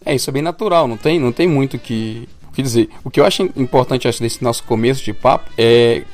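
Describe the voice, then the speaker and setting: A Brazilian man talks through his ideas in a relaxed podcast conversation. He sits at a middle pitch, explains at length and sometimes restarts a sentence. Soft music plays faintly behind him. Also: fast. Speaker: podcast co-host. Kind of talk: casual podcast chat.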